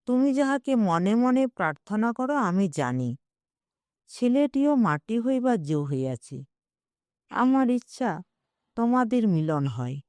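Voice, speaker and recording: An old Bengali gentleman from a literary audio story, read by a woman, persuasive and wryly self-justifying. He talks slowly and coaxingly, in a mellow, dry-recorded voice. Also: fairly high pitch, big pitch swings, no echo.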